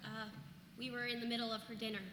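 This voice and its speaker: Actress as high-voiced weary young mother, echoey hall. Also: little pitch movement, quick pace, bright, forceful tone. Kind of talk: staged play reading, modern casual speech. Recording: echoey hall, noisy background.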